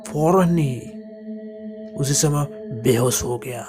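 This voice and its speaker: Male narrator for horror stories: mid-pitched, extremely slow and halting, dramatic, sometimes hushed, over a clear music bed. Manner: halting delivery in short broken phrases, sometimes hushed. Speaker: horror storyteller.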